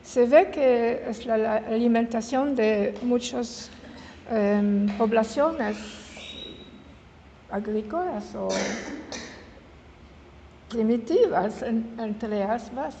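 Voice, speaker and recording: A woman in her seventies with a French-speaking Swiss accent addresses a room in a fairly high voice, picking her words very slowly and often correcting herself. Her voice carries a long hall reverb over faint room noise. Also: warm tone, highs cut off. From Switzerland. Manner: halting, searches for words, corrects herself mid-word. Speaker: guest speaker. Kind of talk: informal public talk. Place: meeting hall in an old house.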